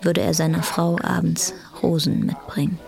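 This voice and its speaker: A woman's voice: fairly low pitch, steady tone, moderate pace, vocal fry, music in the background. A woman narrating a radio drama in a cool, detached way, at times close to a whisper.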